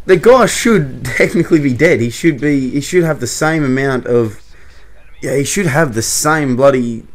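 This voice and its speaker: A young man, giving a casual, rambling opinion commentary to camera. He corrects himself often and laughs a little. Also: mid-range voice. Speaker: YouTuber.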